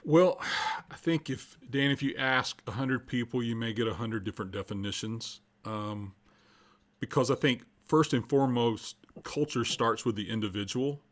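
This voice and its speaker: A man's voice: medium pitch, moderate pace. American man in his fifties, explaining earnestly. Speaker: podcast guest, culture coach and minister. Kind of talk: conversational interview answers.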